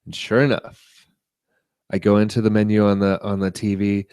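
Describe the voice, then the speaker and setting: A man co-hosting a podcast, chatting casually about tech and media in a halting, rambling way. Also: on the low side, level tone, a little mellow, smooth, no room sound, clean studio sound. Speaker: podcast co-host.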